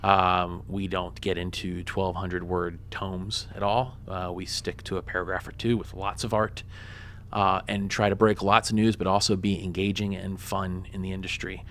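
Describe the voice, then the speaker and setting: A man's voice: low-pitched, little pitch movement, moderate pace, fairly bright tone, a little hiss or hum. A man who works as a reporter, joking and loose in a radio interview, talking with many fillers. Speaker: guest, reporter for an online publication.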